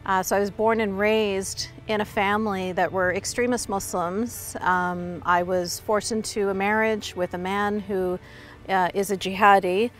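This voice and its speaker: A woman's voice: mid-range voice, steady tone, measured pace, faint music behind. A woman giving personal testimony as an activist in an interview.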